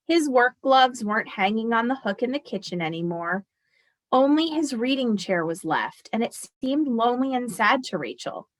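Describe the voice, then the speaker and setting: A woman teacher reading a picture book aloud expressively and explaining it to children as she goes. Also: a little high.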